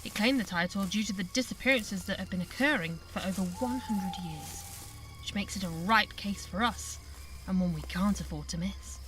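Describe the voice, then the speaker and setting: An actress playing a theatrical television presenter of a live ghost-hunting show, speaking with suspense in an audio drama. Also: female voice, mid-range voice, natural voice, poor audio.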